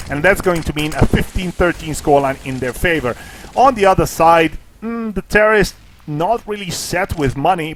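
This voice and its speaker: A man casting a live esports match, excitable at big plays, with casual, jokey asides. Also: a little hiss or hum. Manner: excitable, rises in pitch and volume at big plays. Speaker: esports caster. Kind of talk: live play-by-play commentary.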